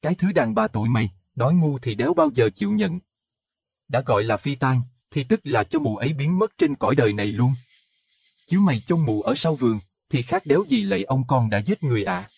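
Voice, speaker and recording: A man's voice: mid-range voice, very animated, a little fast, creaky, darkish tone, husky, no room sound, studio quality, recorded from a distance, heavily acted. A man voicing a brutal cursing village husband. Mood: scornful. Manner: coarse, cursing, contemptuous. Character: brutal foul-mouthed village husband, middle-aged man.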